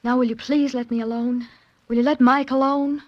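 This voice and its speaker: A woman's voice: high voice, fairly even intonation, a little fast, natural voice. An actress playing an evasive, pleading young woman in love, earnest and melodramatic in a 1940s radio mystery. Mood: desperate, pleading. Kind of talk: 1940s radio drama acting. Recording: dull old radio recording.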